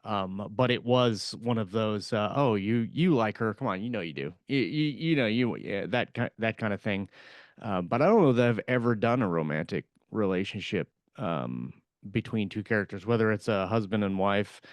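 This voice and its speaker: A man with a low, smooth voice chats about his own writing on a podcast. He halts often and restarts his sentences as he thinks. The sound is dry and close. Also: animated, no room sound. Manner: halting, many fillers and restarts. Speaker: podcast co-host, author. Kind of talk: casual chat.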